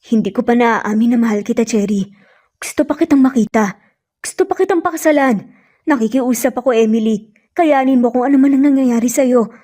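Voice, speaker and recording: An intense, anguished young man from a romance audio story, voiced by a woman. The voice is fairly high and mellow, with emphatic, heartfelt delivery. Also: brisk, close to an everyday voice. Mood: pleading grief. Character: anguished, angry young man fiercely devoted to his beloved.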